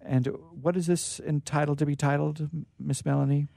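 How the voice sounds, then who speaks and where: A man casually introducing a storytelling program as its host, in a halting, informal way. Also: fairly high pitch, level tone, vocal fry, mellow, dry recording.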